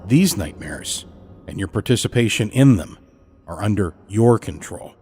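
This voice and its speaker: A man delivering a scripted podcast introduction as host, in a measured, deliberate manner. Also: fairly low pitch, expressive, darkish tone, slightly rough, soft background music.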